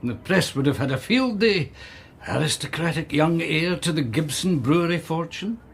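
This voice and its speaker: An actor playing an old, blind, wry Scottish gentleman who reminisces teasingly in a radio drama. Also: fairly high pitch, animated, normal speed, creaky. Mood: amused reminiscing. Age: older. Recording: music behind.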